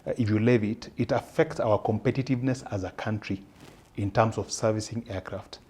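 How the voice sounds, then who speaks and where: A Kenyan man with a fairly low, husky voice answers as an interview guest. He explains in a measured, halting way, often pausing to find the right word. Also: steady tone, a little mellow. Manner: halting, measured, searching for words. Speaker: interview guest, public policy analyst.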